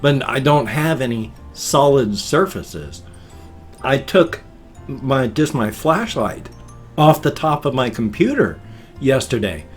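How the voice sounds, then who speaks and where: An older man talks in a folksy, meandering way, his voice nasal and congested with a faint wheeze. He chats casually at a home desk mic, and quiet guitar music plays softly behind him. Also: mid-range voice. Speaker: vlog host. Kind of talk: rambling casual morning vlog. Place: small home room at a desk.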